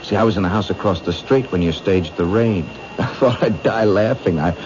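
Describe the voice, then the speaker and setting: A man's voice: low voice, normal speed, natural voice. A man plays a vengeful gunman in an old radio mystery. He is menacing and bitter, talking in tough street slang. The sound is muffled, with heavy background noise. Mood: smug, menacing. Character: vengeful gunman, grown son of a murdered grocer. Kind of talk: radio mystery drama acting. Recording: muffled, noisy old radio drama recording.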